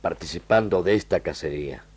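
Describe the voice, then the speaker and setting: A man playing a loyal, formal army lieutenant with a husky voice in an old radio serial. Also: low voice, brisk, darkish tone. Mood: solemn.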